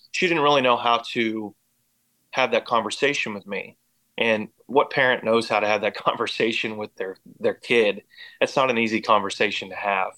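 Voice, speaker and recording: A man's voice: on the low side, pushed and bright, poor audio. An American man giving personal testimony as an interview guest, speaking in an earnest, reflective way. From Indiana and Oklahoma, United States. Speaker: podcast guest.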